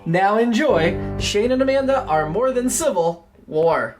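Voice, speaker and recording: A man's voice: high-pitched, lively intonation, measured pace. A man hosting a comedy podcast, giving a scripted introduction and promotion in a plain presenting style. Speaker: podcast host and TV reviewer. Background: guitar music.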